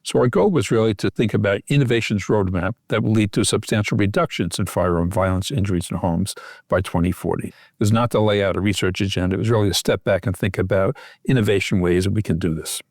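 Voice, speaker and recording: A man's voice: fairly low pitch, fast talker, a little mellow, no room sound, clean studio sound. An older man with an American accent, giving explanatory answers as an expert guest in an interview. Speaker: expert guest, physician and academic.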